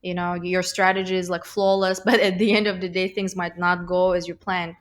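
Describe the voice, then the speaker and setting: Young woman, slight Russian accent, lowish voice, flat intonation, interview guest, thoughtful, lots of fillers. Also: brisk. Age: young adult. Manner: thoughtful, many fillers and restarts. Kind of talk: casual interview conversation.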